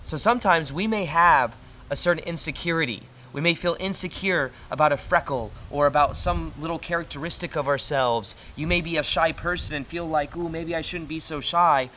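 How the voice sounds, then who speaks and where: A man with an American accent and a naturally loud voice, talking earnestly in a casual motivational monologue to the camera. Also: fairly high pitch, moderate pace, slight background noise. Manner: earnest, fillers and restarts. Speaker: self-help video host. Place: retreat in Costa Rica.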